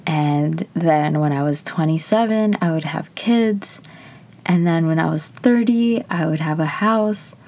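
A woman's voice: deep voice, unhurried, a little mellow. A woman in her early twenties talking candidly to camera, chatty and loose with lots of filler words. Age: 20s. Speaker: vlogger. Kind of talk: casual personal reflection.

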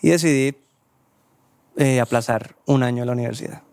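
A man's voice: medium pitch, normal speed, darkish tone. A young man from Cartagena with a Colombian Caribbean coast accent, a band member guest telling stories in a jokey, self-mocking way. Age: young adult.

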